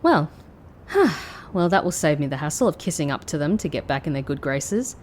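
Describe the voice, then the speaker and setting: A woman's voice: low voice, very animated, moderate pace, a little mellow, a little hiss or hum. An actress playing a reluctant, sardonic female ex-secret agent with a dry, grudging manner in an audio drama. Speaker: voice actor. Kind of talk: anime-style audio drama acting.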